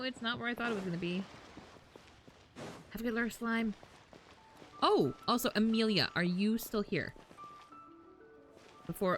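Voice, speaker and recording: Low-pitched, animated woman live-streaming a game. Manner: informal, swearing, thinking aloud. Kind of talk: casual live stream commentary, chatting to viewers.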